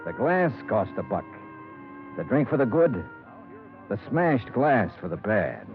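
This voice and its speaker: Tough, world-weary radio sleuth: clipped, wry, very varied intonation, narrow vintage broadcast sound. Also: male voice, on the low side, sing-song, unhurried. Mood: wry, brisk. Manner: clipped, terse, punchy phrasing. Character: tough, hardboiled male insurance investigator, wry and world-weary. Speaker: star of the radio series. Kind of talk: 1950s radio detective acting and narration. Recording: tinny vintage 1950s radio recording, music.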